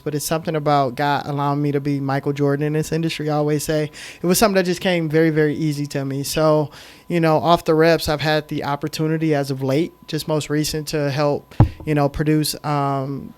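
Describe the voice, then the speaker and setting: A middle-aged man telling his story as a podcast guest, emphatic and animated, with frequent "um"s. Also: on the high side, smooth. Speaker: podcast guest, film director. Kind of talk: casual storytelling interview.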